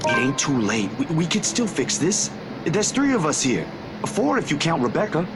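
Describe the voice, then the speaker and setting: A man's voice: a little high, sing-song, moderate pace. An actor playing a laid-back young man in a visual novel game, an uneasy but loyal friend who talks informally and a little hesitantly. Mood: reassuring. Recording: music behind the voice.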